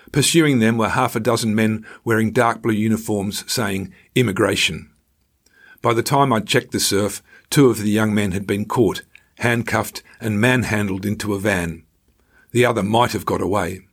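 A man reading his own scripted travel memoir in a measured storytelling manner with dry irony. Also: lowish voice.

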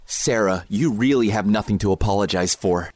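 An actor playing a protective safehouse host in an audio drama, direct and practical in manner. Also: male voice, fairly low pitch, moderate pace, close to an everyday voice. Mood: apologetic, caring. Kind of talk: naturalistic audio drama acting.

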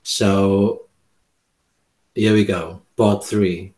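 A South African man speaks slowly in a fairly low voice. He is a poet, talking casually and reading his verse, stopping and restarting with lots of fillers. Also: level tone, darkish tone. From Cape Town, South Africa. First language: Afrikaans. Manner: hesitant, halting with many fillers and restarts. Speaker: poet, guest. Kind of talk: casual interview, reading his poem aloud.

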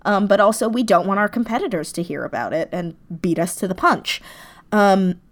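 A woman chatting casually as a podcast guest, an animated, effusive storyteller talking about her writing. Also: medium pitch, fairly quick. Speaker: podcast guest, audio drama writer. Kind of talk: casual interview chat.

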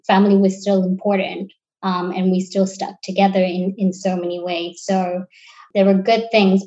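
A woman's voice: medium pitch, warm tone. Woman, 30s, from Sri Lanka, interview guest, reflective, hesitant restarts, slightly muffled recording. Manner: thoughtful, searching for words with restarts.

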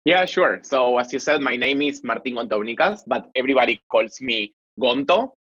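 A man from Argentina speaks with an Argentine accent in a loose, animated interview style, peppering his talk with "like." His voice is a little husky and sits mid-range. The recording sounds dull and lo-fi. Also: medium pitch, normal speed. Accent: Argentine Spanish. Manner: chatty, animated, with fillers like "like". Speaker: guest, marketing executive.

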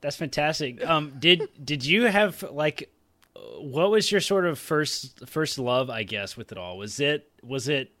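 Male co-host, slightly high pitch, animated melody, casual and halting, dry sound. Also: no echo. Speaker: podcast co-host. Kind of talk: casual interview chat.

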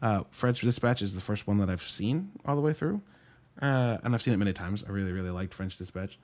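A man's voice: on the low side, expressive, a little fast, darkish tone. A man giving a casual solo movie review in a conversational, wry way, breaking into his own thoughts with dry asides. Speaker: podcast host and movie reviewer.

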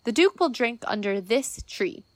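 A woman's voice: a little high, lively intonation, a little slow, dry recording. A woman playing a modest, obliging singing lord in a Shakespeare radio play, mild and a little reluctant.

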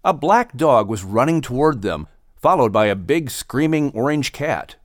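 A man working as announcer and narrator, giving animated scripted storytelling for children. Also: medium pitch, normal speed, dry recording, clean studio sound.

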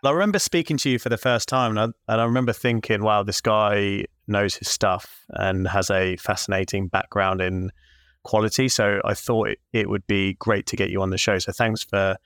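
Low-voiced male podcast host, friendly. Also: fairly even intonation, normal speed, a bit bright, clean tone, dry recording, studio quality. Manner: friendly, rambling questions with fillers. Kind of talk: podcast interview hosting.

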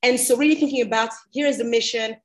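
A woman's voice: on the high side, fairly even intonation, fast talker. A young woman from Cameroon giving candid, informal interview answers as a podcast guest, explaining things in a conversational way.

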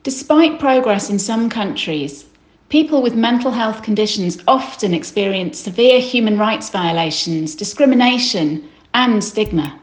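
Female consultant, formal scripted read, mid pitch, echoey room. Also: a little slow. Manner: steady, formal read-out delivery. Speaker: senior consultant presenting a publication. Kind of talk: scripted presentation. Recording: roomy echo.

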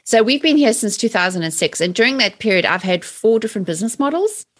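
A woman in her forties with a South African accent, chatting lively as an interview guest. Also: a little high, fast, husky. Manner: lively, chatty, laughs often. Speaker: guest, mindset trainer. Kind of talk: casual interview chat.

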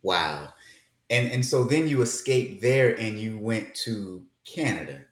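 A man's voice: fairly low pitch, expressive, a little slow. A man hosting a casual interview, asking leading questions with enthusiasm. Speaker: interview host. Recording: echoey room.

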